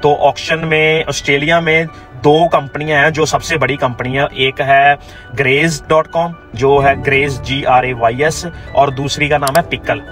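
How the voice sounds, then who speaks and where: A man's voice: mid-range voice, steady tone, measured pace, fairly bright tone. A man gives a casual step-by-step explanation, repeating key points as a vlogger. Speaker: vlogger and presenter. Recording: dull lo-fi audio over music. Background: background music.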